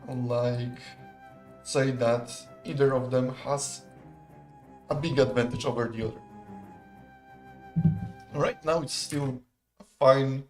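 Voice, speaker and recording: Man casting a strategy game. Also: mid-range voice. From Poland. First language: not English. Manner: relaxed, chatty, laughs often, analytical. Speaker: esports caster. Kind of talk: live game commentary.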